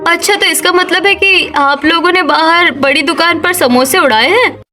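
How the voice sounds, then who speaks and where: A woman's voice: extremely high pitch, normal speed, sharp tone, faint music behind. A woman voicing a pointed, complaining young village daughter-in-law in a cartoon story. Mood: accusing, sarcastic. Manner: pointed, plaintive.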